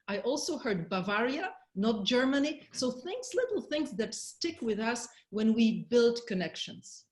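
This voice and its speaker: A woman's voice: a little high, darkish tone, reverberant. A woman hosting and presenting an online webinar over a video call, friendly and guiding, laughing often. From Poland. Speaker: webinar host and speaker.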